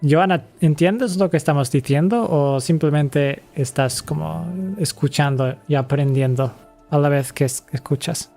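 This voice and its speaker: Chatty young man streaming, slightly high voice. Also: normal speed, warm tone, faint music behind. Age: 20s. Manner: chatty, laughs often, restarts words. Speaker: game streamer reading chat. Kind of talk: casual live-stream chat.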